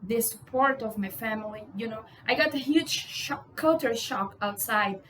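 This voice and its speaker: A woman's voice: on the high side, moderate pace, a bit bright, a little hiss or hum. A young woman from Brazil whose first language is Portuguese talks casually to camera in English with a Brazilian accent, outspoken and swearing for emphasis, with many "you know"s. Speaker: vlogger.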